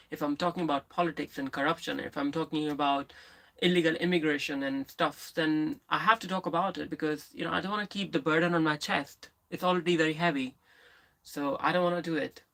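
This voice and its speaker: A woman with a lisp who livestreams, chatting candidly and answering viewers in a rambling way with many restarts and pauses. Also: low-pitched, vocal fry, fairly bright tone. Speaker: live streamer. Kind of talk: casual live stream chat, answering viewers.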